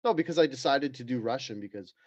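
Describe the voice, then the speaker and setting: A man's voice: medium pitch, animated, fast, no echo, highs cut off. A man co-hosting a podcast in casual, profane, joking chat. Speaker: podcast co-host.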